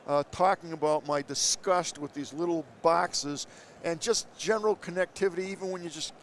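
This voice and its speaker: A man hosting a live interview show on a busy trade show floor, enthusiastic and quick to cut in with praise. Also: fairly high pitch, slight background noise. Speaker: show host. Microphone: headset.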